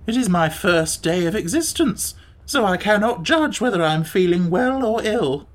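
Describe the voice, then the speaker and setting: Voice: mid-range voice, animated, normal speed, pitched above the performer's own voice, lightly acted. A storybook narrator voicing a gloomy, indifferent flying creature with an animal head. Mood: aloof, careless. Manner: indifferent, careless, mournful.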